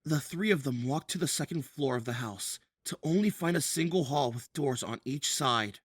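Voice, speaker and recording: A man's voice: mid-range voice, normal speed, husky, dry recording, lightly acted. A man narrating an audio drama as a scripted storyteller.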